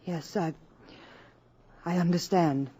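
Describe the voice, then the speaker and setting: An actress playing an earnest, anxious young mother in a 1950s radio drama, soft and hesitant, pleading at times. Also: on the low side, steady tone, slow, slightly rough. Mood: hushed.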